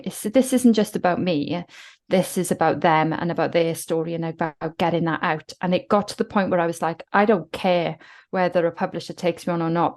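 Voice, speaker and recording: A woman's voice: lowish voice, a little mellow. A woman from South Wales with a Welsh accent, a guest talking reflectively in a podcast interview. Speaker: guest author.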